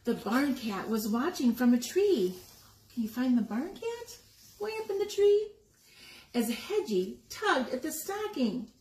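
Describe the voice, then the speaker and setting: A woman reading a picture book aloud to young children, expressively, pausing to explain the pictures and ask questions. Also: sits high, sing-song, a little mellow. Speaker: story time reader. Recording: echoey room with background noise.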